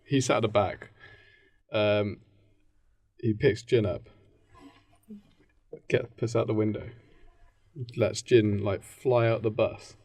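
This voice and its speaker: A man's voice: lowish voice, steady tone, slow, long pauses, darkish tone, no echo, studio quality. A British man co-hosting a podcast, telling a comic story in an informal, rambling way. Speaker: podcast co-host.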